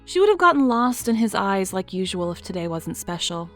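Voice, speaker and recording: A woman narrating an audio drama in a soft, storybook manner. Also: medium pitch, big pitch swings, a little fast, faint music behind.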